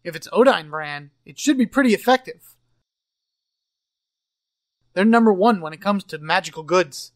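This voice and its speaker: A man's voice: sits high, animated, normal speed, long pauses, no echo. A man voicing a brash, enthusiastic young martial artist in a video game fan dub.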